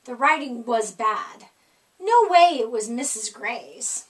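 High, animated woman reading a picture book aloud. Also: slow, distant mic. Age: middle-aged. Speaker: storyteller. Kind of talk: expressive read-aloud of a children's picture book. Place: small indoor room with decorated wall.

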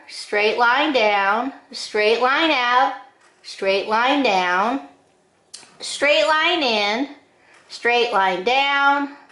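An older woman giving step-by-step drawing instructions in short, deliberate phrases with frequent pauses, recorded in an echoing room. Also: on the high side, fairly bright tone. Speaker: drawing teacher. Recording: roomy, echoing indoor sound.

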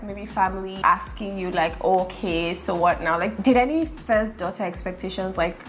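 A Nigerian woman in her twenties hosting a relaxed, playful chat from a sofa. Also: mid-range voice. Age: 20s. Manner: relaxed, playful, laughs easily. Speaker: host. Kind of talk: casual chat. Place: bright living room, seated on a sofa.

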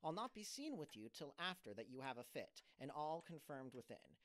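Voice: mid-range voice, big pitch swings, normal speed. An actor playing a confident, scheming plotter in an amateur audio drama, with a persuasive, theatrical manner. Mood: sly urging. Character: scheming, confident confidant coaching a gentleman in trickery. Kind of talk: amateur audio drama of an early modern comedy.

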